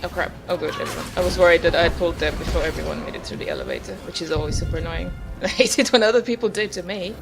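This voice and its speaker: A woman's voice: lowish voice, big pitch swings, rapid-fire, vocal fry, few pauses. A woman giving casual, musing, understated commentary while playing a video game. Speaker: gamer, video host. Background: video game music.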